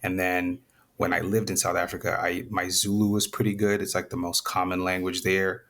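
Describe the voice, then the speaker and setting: A young man from Zambia, a guest in a casual interview, talking in a relaxed, rambling way with many restarts. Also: low-pitched, moderate pace. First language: Nyanja.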